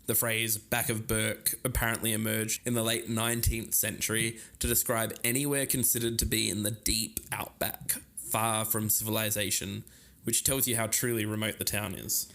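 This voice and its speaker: Lowish man co-hosting a podcast. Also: flat delivery, moderate pace, a bit bright. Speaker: podcast co-host. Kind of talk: scripted investigative podcast narration.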